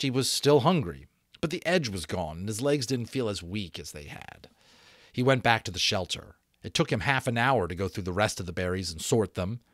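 A man in his thirties reading a novel aloud as an expressive, dramatic audiobook narrator. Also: mid-range voice, dry recording, studio quality. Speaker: audiobook reader, voice actor. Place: small home room, indoors. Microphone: close desk microphone.

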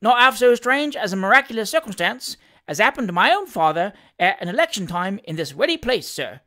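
Breezy Cockney servant for a storybook, played by a man, cheeky and emphatic, with lively swings in pitch. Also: brisk, exaggerated voice. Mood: chatty storytelling. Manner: emphatic, colloquial.

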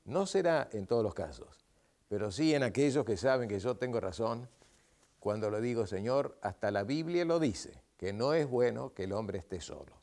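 An older man with an Argentine Spanish accent hosts a matchmaking program in a TV studio. He coaxes his guest with playful, repeated questions, his pitch swinging widely. The sound is a lapel mic in a studio. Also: expressive, normal speed, darkish tone. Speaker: TV matchmaking show host. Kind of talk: studio interview and address to camera. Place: TV studio set.

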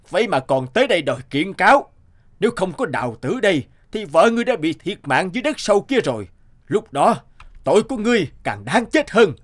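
Stern high-voiced cartoon judge. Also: male voice. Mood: stern, commanding. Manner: authoritative, declamatory. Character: stern commanding male judge passing sentence. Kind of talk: dubbed cartoon fairy tale acting.

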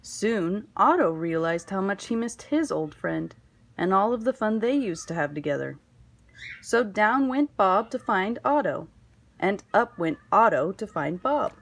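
A woman narrates a children's picture book at a slow pace. Her medium-pitched voice is expressive and deliberate, shaped for storytelling. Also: vocal fry. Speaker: storybook reader.

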